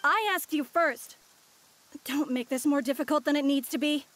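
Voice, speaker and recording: A suspicious young-sounding game patrol ranger, high in pitch and brusque. She challenges people indignantly over clear background noise. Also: normal speed, a bit bright. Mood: suspicious, stern. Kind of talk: video game voice acting.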